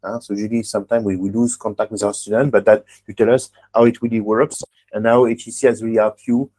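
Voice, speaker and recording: A man's voice: lowish voice, level tone, fast, dark tone. A man whose first language is German hosts an online webinar as an admissions coach. He talks emphatically and often checks in with "huh?" and "okay?". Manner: emphatic advisory tone, tag questions like "huh?" and "okay?". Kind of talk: online webinar talk with a student guest.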